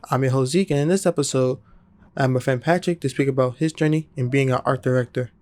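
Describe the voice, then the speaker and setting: A man's voice: medium pitch, steady tone, fast talker, darkish tone. A man hosting a podcast, reading a plain scripted welcome and introducing his guest. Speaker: podcast host.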